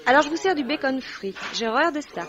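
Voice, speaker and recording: An actress playing a brisk, sassy, hot-tempered young waitress, deadpan and quick-witted, in the style of 1950s French radio. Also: female voice, high-pitched. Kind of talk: 1950s French radio comedy-drama acting. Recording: muffled vintage radio, background restaurant bustle.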